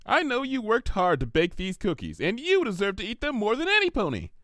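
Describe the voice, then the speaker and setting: Sweet-toothed young cartoon mare, giddy and rambling male reader in falsetto, very high and animated. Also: brisk, dry recording, studio quality, full character voice. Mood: cheerful. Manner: bubbly, gushing, rambling. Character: hyperactive, sweet-toothed, cheerful young female pony.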